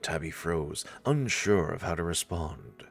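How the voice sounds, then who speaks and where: A man's voice: low-pitched, lively intonation, unhurried, creaky, soft background music. A man narrating a visual novel playthrough expressively, with casual asides.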